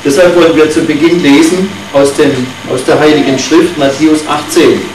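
A native German-speaking man preaches in short phrases and pauses so his interpreter can follow. His voice sits a little high. He is recorded from a distance in an echoey hall with clear background noise. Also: fairly even intonation. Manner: short phrases, pausing for translation. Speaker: visiting preacher. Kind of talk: sermon given through an interpreter. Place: church hall. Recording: echoey hall from far off, noisy.

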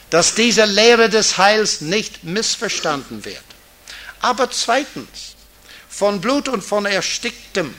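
Slow, high-pitched man teaching. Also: pushed and bright. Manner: deliberate, didactic, with rhetorical questions and emphasis. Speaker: Bible teacher. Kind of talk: Bible teaching talk to an audience.

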